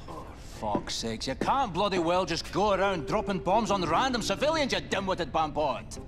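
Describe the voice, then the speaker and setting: High-pitched, hot-tempered Scottish fighter. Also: male voice, very animated, moderate pace, over music. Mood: scornful. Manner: irascible, sarcastic, profane. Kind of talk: video game cutscene acting.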